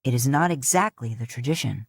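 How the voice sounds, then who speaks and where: A woman voicing a weary, gruff older man who leads his tribe. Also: extremely low pitch, lively intonation, brisk, no echo, studio quality, pitched below the performer's own voice, heavily acted. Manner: gruff, grudging.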